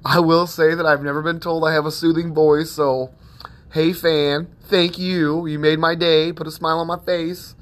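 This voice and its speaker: A man's voice: on the high side, flat delivery, moderate pace. A man hosting a self-help podcast, talking in a rambling, conversational way with plenty of fillers and a soothing tone. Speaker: podcast host.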